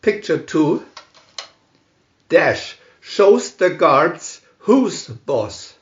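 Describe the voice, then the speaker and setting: A man reading a scripted picture storybook aloud in short, deliberate phrases with pauses between them. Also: on the high side, sing-song, echoey room, dull audio. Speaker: reader of picture-story booklets.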